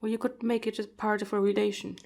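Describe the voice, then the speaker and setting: A woman's voice: medium pitch, little pitch movement, fast, creaky, a little mellow. A woman, not a native speaker of English, chatting on a video call in a thoughtful, hesitant way with many fillers. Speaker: podcast host. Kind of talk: casual conversation.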